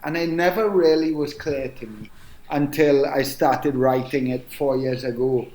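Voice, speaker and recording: A Trinidadian man in his forties talks in an unhurried, reflective way, often pausing on "um" and "you know". His voice sits at an ordinary pitch for a man. The sound carries a noticeable room echo. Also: darkish tone. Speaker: author guest. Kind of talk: casual interview conversation.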